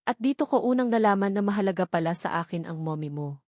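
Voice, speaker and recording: Tender, earnest father figure, read by a woman, nostalgic and soft-hearted, for an audio romance, very dry sound. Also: medium pitch, animated, fast talker, no echo, close to an everyday voice. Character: tender adult father sharing memories with his young son.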